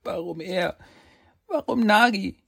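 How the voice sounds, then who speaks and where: A heartbroken young boy warrior cries out his questions, his voice pushed high and strained, as a man acts him out in a storybook reading. The tone stays raspy and gravelly underneath. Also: slow, a little mellow, heavily acted. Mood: pleading, grieving. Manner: pleading, pitched high and strained.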